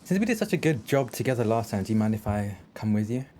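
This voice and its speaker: A man playing a sly, affable male vampire forger who sounds smoothly insincere in a tabletop game. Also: lowish voice, very animated, fast, dark tone, a little hiss or hum.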